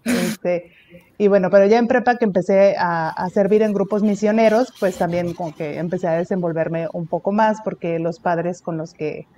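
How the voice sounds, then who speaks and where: Chatty Mexican nun, low voice. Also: female voice, moderate pace, mellow, a little hiss or hum. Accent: Mexican Spanish. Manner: chatty, many fillers, laughs easily. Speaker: religious sister, guest. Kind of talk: casual interview chat.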